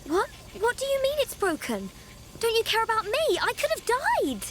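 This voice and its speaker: A woman playing a spirited, caring young woman, feisty and expressive, in a video game. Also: extremely high pitch, normal speed, background noise. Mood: indignant. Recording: orchestral music behind the voice.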